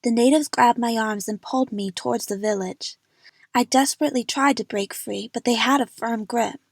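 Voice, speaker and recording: A woman's voice: a little high, husky, dry recording. A woman narrating a first-person story dramatically for an amateur audio drama.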